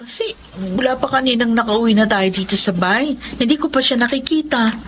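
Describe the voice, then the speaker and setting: Actress as a tender, pitying younger sister. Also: female voice, a little high, fairly quick, noisy background, close to an everyday voice. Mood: concerned. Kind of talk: Filipino radio drama acting.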